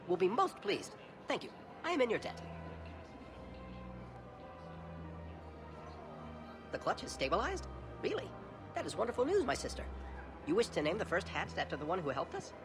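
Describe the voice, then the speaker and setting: A man's voice: high voice, wildly swooping pitch, brisk, music in the background. A man voicing an earnest, family-minded alien in a video game, with precise, formal wording. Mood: grateful delight.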